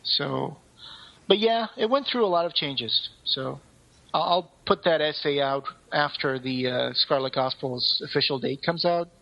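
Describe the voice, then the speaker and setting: A man with a slightly high voice co-hosts a podcast over a dull, lo-fi recording. His delivery is informal and conversational. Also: a little slow, vocal fry. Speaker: podcast co-host. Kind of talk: casual podcast chat. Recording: dull, lo-fi voice connection.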